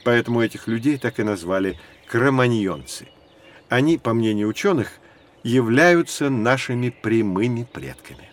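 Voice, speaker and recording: An actor playing a learned professor, explaining in a deliberate storytelling way in an educational audio drama. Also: male voice, medium pitch, expressive, close to an everyday voice. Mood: patient, instructive. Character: learned male professor guiding children through prehistory.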